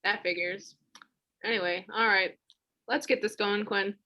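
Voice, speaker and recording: An actress playing a brisk, sardonic office meeting leader in a comedy web series, businesslike with dry sarcasm. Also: female voice, medium pitch, fairly even intonation, sharp tone.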